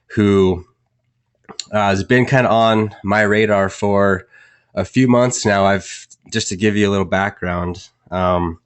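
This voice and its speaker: Deep-voiced man hosting a webinar. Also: clear, resonant tone. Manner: halting, many fillers and restarts. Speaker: webinar host introducing a guest. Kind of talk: casual introduction.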